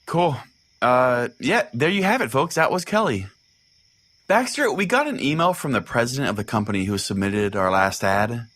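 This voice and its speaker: Folksy, animated radio pastor host, played by a man. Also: mid-range voice, moderate pace, dry recording. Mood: irreverent, folksy. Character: small-town radio pastor host, crude, bigoted, oblivious. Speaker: radio call-in show host. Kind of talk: comedic mock-radio sermon and host banter.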